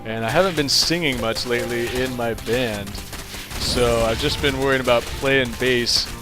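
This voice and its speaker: A young man with a nasal voice casts a strategy game for his channel. He talks in a loose, chatty way at a middle pitch and gets louder and quicker as the battles heat up. Music plays under his voice. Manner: relaxed and rambling, gets louder and higher as the action heats up. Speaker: game caster. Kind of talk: casual game commentary. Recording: music playing behind the voice.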